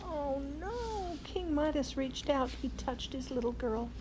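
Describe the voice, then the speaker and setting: A woman's voice: sits high, lively intonation, slow. An older woman reading a children's storybook aloud as a grandmother, expressively, with exclaimed asides. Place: large indoor lodge room with fireplace. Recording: clearly noisy room, ambient sound.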